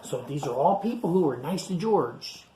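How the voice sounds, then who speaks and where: Storytime reader for small kids, mid pitch, very lively range, explains the story in simple asides. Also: moderate pace, poor audio. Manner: simple explanatory asides addressed to young listeners. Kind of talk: reading a picture book aloud to children with asides.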